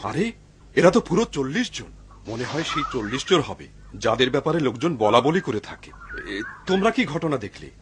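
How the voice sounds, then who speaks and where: Humble, devout cartoon woodcutter, played by a man: expressive, lively pitch swings, cautious and kind, audible background noise. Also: normal speed, lightly acted. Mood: astonished, wary. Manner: expressive, musing aloud to himself. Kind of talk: dubbed cartoon voice acting. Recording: clear background noise behind the voice.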